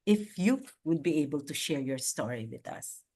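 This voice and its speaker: A woman hosting a podcast interview over a video call, talking warmly and effusively. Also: sits low, animated. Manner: warm, slow, effusive with frequent laughs. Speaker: podcast host. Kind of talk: casual interview chat. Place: home in Virginia, on a video call.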